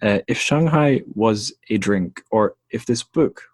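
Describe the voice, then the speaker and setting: A man's voice: fairly low pitch, measured pace, no room sound. A young man hosting a book podcast, chatting casually with many hesitations and ums. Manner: relaxed, hesitant with frequent ums and restarts. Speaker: podcast host. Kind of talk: casual interview chat.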